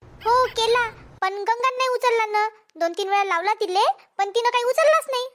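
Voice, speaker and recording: A woman performs a take-charge village wife in an amateur cartoon comedy. The pitch is extremely high, the talk emphatic and chatty, with a Vidarbha Marathi accent. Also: normal speed, slight background noise. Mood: urgent.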